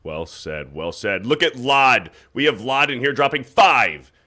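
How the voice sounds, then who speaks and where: A man's voice: mid-range voice, animated, a little slow, pushed and bright. A man hosting a casual livestream, reading super chats aloud and chatting loosely with a guest, with some swearing. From United States. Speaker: livestream host.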